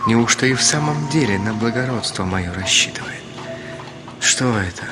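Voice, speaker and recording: An actor playing a worldly, ironic middle-aged gentleman in a radio drama, wry and urbane. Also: medium pitch, expressive, moderate pace, over loud music, lightly acted. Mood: sceptical, brooding. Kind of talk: theatrical Russian radio drama.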